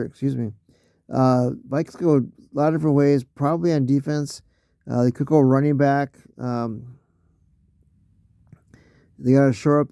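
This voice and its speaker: A man losing his voice, talking casually through a solo mock draft, halting with fillers and often clearing his throat. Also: mid-range voice, mellow, dry recording, clean studio sound.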